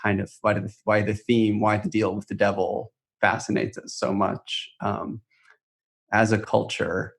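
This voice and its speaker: A man with a fairly low voice speaks as a podcast co-host. He explains in a conversational, reflective way, building each point by restating and layering phrases. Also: flat delivery, unhurried, gravelly.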